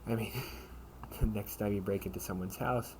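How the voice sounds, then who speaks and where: A man's voice: on the low side, steady tone, close to an everyday voice. Man acting a menacing older brother, noisy recording. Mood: casually menacing. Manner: slangy, halting, drawn-out casual menace. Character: protective older brother, casually menacing, sardonic captor. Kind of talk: amateur audio roleplay acting. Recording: noisy amateur home recording.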